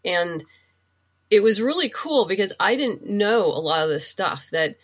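A woman's voice: mid-range voice, sing-song, measured pace, slightly rough. A woman being interviewed as a podcast guest, explaining things thoughtfully in a casual, conversational way.

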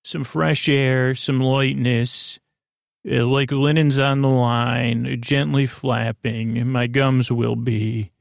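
A man with a mid-pitched, gravelly creak talks in a dry, echo-free recording. He rambles as a bedtime podcast host would, hesitating, drifting off course and circling back to where he was. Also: flat delivery, slow pace. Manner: meandering, hesitant, keeps losing his thread and doubling back.

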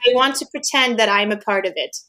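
A woman's voice: mid-range voice, fast. A woman from France with a French accent, a podcast guest, speaking earnestly with some hesitation. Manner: earnest, warm-hearted, hesitant. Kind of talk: casual chat.

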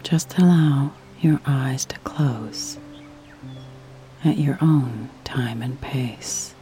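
A woman guides a sleep meditation in a soft, breathy, mellow voice. She speaks very slowly and soothingly, with long pauses. Faint music plays underneath. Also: extremely low pitch. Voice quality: soft, breathy, near whisper at times.

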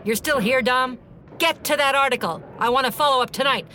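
An actress playing a brisk, bossy editor-in-chief with clipped, commanding delivery in a 1930s-style radio play. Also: female voice, high-pitched, sharp tone, slight background noise. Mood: brisk, insistent.

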